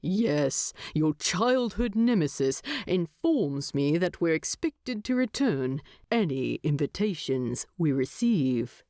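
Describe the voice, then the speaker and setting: A woman voicing a steady, fond, dignified male dwarf in an audiobook, speaking in a measured, gently wry way. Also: deep voice, no room sound, studio quality, full character voice. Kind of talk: audiobook character dialogue.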